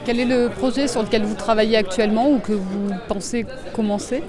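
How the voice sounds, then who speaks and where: A woman's voice: fairly high pitch, fairly even intonation, a little fast. A woman interviewer asking questions outdoors, chatty and light. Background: crowd noise.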